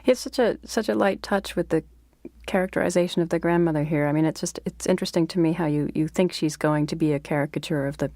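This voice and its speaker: A woman with a dark, mellow voice hosts a literary conversation. She sounds thoughtful and probing, in a dry recording with very little room. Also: low voice, fast talker, no room sound. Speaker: podcast host and fiction editor.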